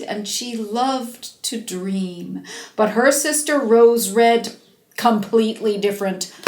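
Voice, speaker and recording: A woman narrates a fairy tale with theatrical emphasis. Her voice sits fairly high and she takes her time, stretching key words. The room gives a noticeable echo. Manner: dramatic, drawn-out emphasis, animated. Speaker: storyteller. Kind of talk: expressive fairy-tale storytelling for children. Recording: roomy, echoing space.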